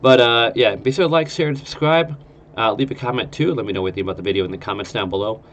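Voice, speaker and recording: A man's voice: medium pitch, fast talker, a bit bright, lo-fi sound. A man with a nasal, stuffed-up voice from a cold, rambling through casual gameplay commentary. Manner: rambling, lots of uh fillers. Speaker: game streamer.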